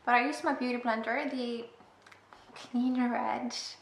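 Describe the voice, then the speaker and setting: Young woman, Icelandic accent, slightly high voice, gravelly fry, echoey room, faint hiss. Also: fairly even intonation, measured pace. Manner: chatty, self-deprecating, giggly asides. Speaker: makeup vlogger. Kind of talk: casual makeup tutorial.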